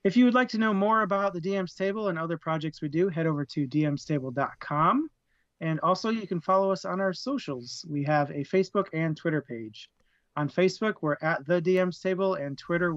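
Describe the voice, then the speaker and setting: A man's voice: fairly high pitch, a little fast, a bit bright, dry recording, muffled recording. A man co-hosting a live book discussion stream, chatty and laughing often, sometimes tripping over his words. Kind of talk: casual live-stream chat, plugs and rundown.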